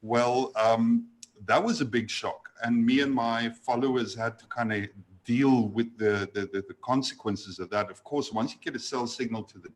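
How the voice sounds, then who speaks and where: Guy on a video call, lots of ums and restarts, middle pitch. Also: rough recording. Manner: halting, many fillers and restarts. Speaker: guest trader. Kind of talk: casual video call chat.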